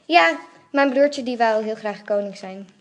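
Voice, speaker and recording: A woman's voice: fairly high pitch, animated, measured pace. A woman playing a brave, thrill-seeking princess in amateur radio drama, with a plain, casual delivery. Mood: casual, independent.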